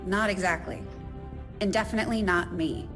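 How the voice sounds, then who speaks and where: A woman's voice: mid-range voice, normal speed, music in the background, lightly acted. A woman playing a plainspoken, confident engineer in a video game cutscene, dry and matter-of-fact. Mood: resolute, matter-of-fact.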